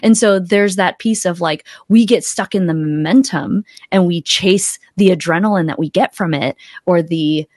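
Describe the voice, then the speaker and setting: A middle-aged woman with a fairly low voice speaks as a guest in a casual interview. She tells things like a storyteller, quoting her own thoughts. The sound is close and dry, on a desktop podcast mic. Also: normal speed, hardly any pauses, darkish tone, no echo. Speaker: podcast guest, accountability coach. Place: small home room, indoors, video call. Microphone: close desktop podcast microphone.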